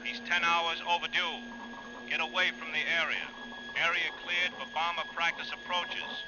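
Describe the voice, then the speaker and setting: An actor playing a brash airfield tower operator over the radio in an old-time radio drama, with clipped procedural delivery. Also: male voice, on the high side, little pitch movement, normal speed. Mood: brusque, skeptical. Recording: muffled, noisy old radio recording.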